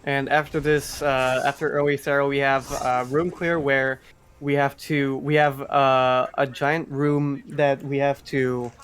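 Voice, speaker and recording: A man's voice: medium pitch, level tone, a little slow, fairly bright tone. A man giving casual live commentary as he explains a video game speedrun.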